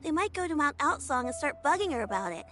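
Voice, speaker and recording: A woman's voice: high voice, big pitch swings, fast talker, pushed and bright, faint music behind, heavily acted. A woman voicing an earnest, friendly young girl in a video game, talking casually.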